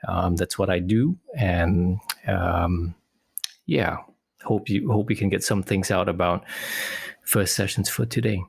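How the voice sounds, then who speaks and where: A middle-aged man from Singapore, a psychologist guest, talking quickly and haltingly with many fillers. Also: low voice, steady tone, gravelly, slightly rough, no room sound. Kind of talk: casual interview talk.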